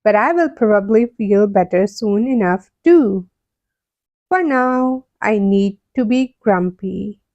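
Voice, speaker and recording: A woman's voice: medium pitch, expressive, slow pace, warm tone, no echo, highs cut off. Woman acting out an indignant grumpy male monkey. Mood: resigned, sulky. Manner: insistent, indignant. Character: grumpy young male monkey in stubborn denial.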